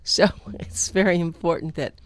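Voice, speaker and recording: A woman's voice: fairly low pitch, normal speed, no echo. A woman hosting a radio interview, asking questions and giving short affirmations. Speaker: radio show host.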